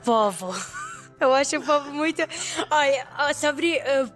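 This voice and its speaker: A woman's voice: sits high, a bit bright, soft background music. A young woman from Syria with an Arabic accent, a non-native speaker answering casually in an interview and often searching for words. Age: young adult. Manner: halting, searching for words in second-language speech. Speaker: interviewee, international relations student.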